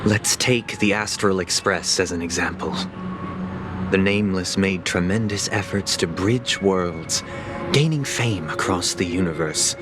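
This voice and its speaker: Grave, deep-voiced philosophical man. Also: music in the background. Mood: skeptical. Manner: measured, solemn, deliberate, formal phrasing. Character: grave, coolly philosophical elder brother debating his sister. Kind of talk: dramatic video game voice acting.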